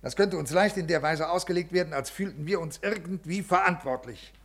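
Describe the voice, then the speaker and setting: An actor playing a rigid, defensive senior official in a 1950s German radio play, with a stiff, formal, officious manner. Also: male voice, a little high, brisk, a bit bright. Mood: cold, self-justifying.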